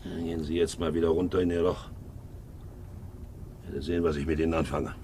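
An actor playing a hard, brooding ageing ship's captain in a 1950s radio drama, shifting between muttering and barking. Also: male voice, rumbling low, fairly even intonation, a little fast, warm tone, slight background noise. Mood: harsh, commanding. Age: older. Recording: 1950s radio recording, faint music.

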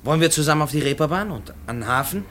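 A man's voice: a little high, expressive, racing, a bit bright, slight background noise. An actor playing a restless, cocky young railwayman in a radio drama, talking in a brash, eager, colloquial way. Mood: excited, coaxing.

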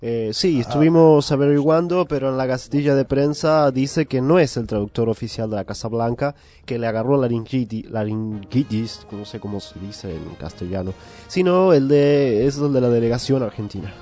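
A man's voice: mid-range voice, normal speed, mellow, faint music behind, lightly acted. A man playing a pompous, fussy foreign correspondent in a parody news interview, mock-formal and dropping English words into Spanish.

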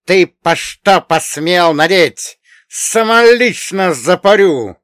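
A man voicing an imperious, sharp-tongued middle-aged noblewoman in a historical audiobook, with a haughty, drawn-out, commanding manner. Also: on the high side, expressive, no room sound, studio quality, voice pushed up. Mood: furious. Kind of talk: acted audiobook dialogue.

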